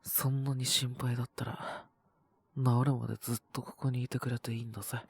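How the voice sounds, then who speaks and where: A man voicing a cocky, possessive young wolf-boy boyfriend with a cold, in an intimate audio roleplay, speaking bluntly and teasingly in a breathy, husky voice. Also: mid-range voice, little pitch movement, a little fast, dry recording. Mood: gruff, softened. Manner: blunt, rough casual speech, teasing, languid. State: sick with a cold and cough. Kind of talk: Japanese situation-voice roleplay aimed at the listener.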